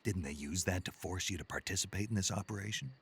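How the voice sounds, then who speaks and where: An actor playing a gruff, wry special forces soldier in a video game, terse and teasing, with a gravelly voice. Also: male voice, deep voice, quick pace, heavily acted. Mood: subdued. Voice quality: gravelly, husky.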